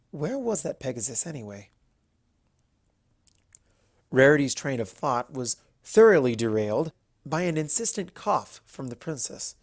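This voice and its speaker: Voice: medium pitch, vocal fry, a little mellow, no echo, clean studio sound. A narrator reading a scripted fantasy story aloud.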